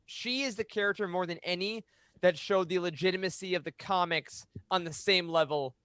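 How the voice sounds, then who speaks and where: A man's voice: high voice, moderate pace, a bit bright, smooth, no echo. A man in his thirties giving opinions in a casual podcast roundtable, on a close microphone at home. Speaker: podcast panelist. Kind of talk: casual animated opinion chat. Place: small room at home. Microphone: close boom microphone.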